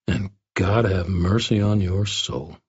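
A man voicing a stern, wry investigator wizard in an audiobook, speaking in a quiet, measured and threatening way. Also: low voice, slightly rough, muffled recording, heavily acted. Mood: stern warning. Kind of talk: audiobook first-person dialogue.

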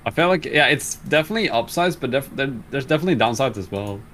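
A man's voice: medium pitch, animated, fast talker, fairly bright tone, a little hiss or hum. Hesitant man on a podcast call. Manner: hesitant, many ums and restarts. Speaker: streamer guest. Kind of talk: casual chat.

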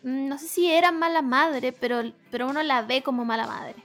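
A woman's voice: high-pitched, normal speed, fairly bright tone, faint music behind. A Chilean woman co-hosting a casual, irreverent talk show in a slangy, sweary, giggly way.

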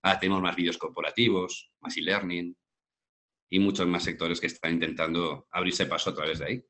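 A man's voice: sits low, level tone, fairly quick, bright, forceful tone. A man of about forty with a Madrid Castilian accent, a professional voice-over artist answering questions in a conversational interview. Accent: Castilian Spanish from Madrid. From Madrid, Spain. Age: around 40.

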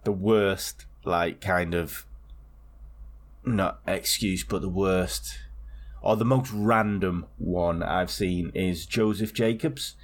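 Podcast co-host, male, lowish voice, loose, rambling, sweary storyteller. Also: fairly even intonation, takes it slowly. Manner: rambling and swearing, with many restarts. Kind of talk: casual chat.

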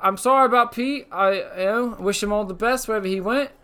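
A man voicing a rambling, laid-off cousin who now hosts a podcast game show, with a high put-on voice and slangy bluster. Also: brisk, pushed and bright, clear, resonant tone. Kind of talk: comedic character monologue on a podcast. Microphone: podcast mic.

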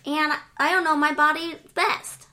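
A naive little boy, played by a woman in an improvised comedy bit. The voice sits high and bright, and he states everything bluntly and matter-of-factly, as if it made perfect sense. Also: steady tone, measured pace. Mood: earnest. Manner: blunt, matter-of-fact kid talk.

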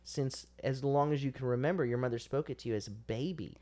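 Mellow, teasing podcast co-host. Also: mid-range voice, fast talker. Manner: teasing, encouraging, hesitant with fillers. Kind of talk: casual chat.

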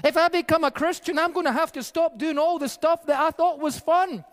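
High-voiced male pastor in a reverberant hall. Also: steady tone, brisk, fairly bright tone. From Peru-born, raised in the UK by Scottish parents. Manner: conversational storytelling preaching, varied pace. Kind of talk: sermon.

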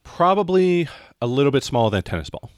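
A man narrating a tabletop role-playing game as game master, improvising and often pausing to search for words. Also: medium pitch, big pitch swings, no room sound, studio quality.

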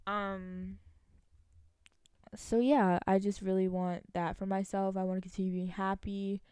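A woman in her late teens with a soft-edged, mellow voice at an average pitch. She rambles casually to her viewers, giggly and slangy, thinking out loud. The sound is close and dry, with no room echo. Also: little pitch movement, measured pace, clean tone. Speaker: vlogger, college student. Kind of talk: casual solo chat to viewers.

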